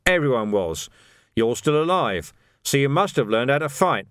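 A man voicing a gruff, wily, middle-aged police sergeant in an audiobook, blunt and taunting with his recruits. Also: medium pitch, very animated, moderate pace, fairly bright tone, no echo, studio quality, voice pushed up.

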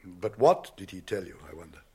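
An actor playing a wise, watchful old wizard with a British accent in a radio drama, speaking in a measured, cautionary and cryptic way. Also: male voice, sits low, sing-song, dark tone, husky, heavily acted. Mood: grave, cautionary.

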